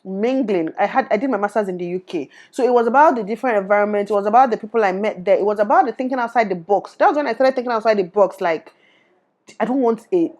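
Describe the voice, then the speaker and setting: Fast-talking Nigerian woman vlogger. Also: mid-range voice. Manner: animated, switches into Pidgin. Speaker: YouTuber. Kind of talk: opinionated to-camera vlog. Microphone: lapel.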